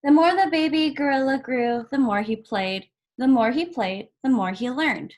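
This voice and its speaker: A woman reading a children's picture book aloud as a volunteer story reader, in measured phrases with pauses between them. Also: high voice, a little mellow.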